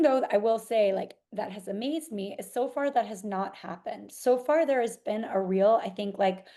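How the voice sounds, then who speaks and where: A woman artist answering interview questions in a thoughtful, articulate way, explaining things in measured detail. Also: mid-range voice, mellow.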